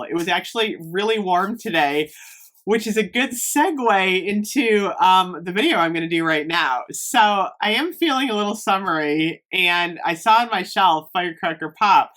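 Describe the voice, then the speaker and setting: A woman from Northeast Ohio vlogging casually about fragrances, slightly hoarse, chatty with lots of fillers. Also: mid-range voice, a bit bright. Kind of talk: casual chat to camera.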